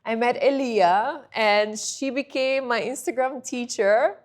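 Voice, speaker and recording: A woman's voice: fairly high pitch, slow pace. A woman, a guest in an interview, telling her story in a chatty, rambling way. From Bulgaria.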